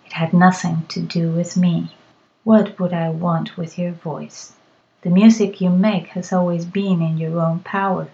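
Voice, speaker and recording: A woman's voice: on the low side, little pitch movement, unhurried, vocal fry, highs cut off. A woman voicing a sly, unflustered old witch in a read-aloud story, speaking dryly and matter-of-factly. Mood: knowing. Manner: dry, matter-of-fact, quietly knowing.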